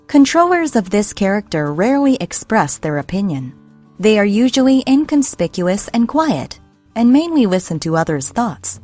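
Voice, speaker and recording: A woman's voice: mid-range voice, darkish tone. A woman reading a scripted explainer narration in a formal, read-out manner. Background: background music.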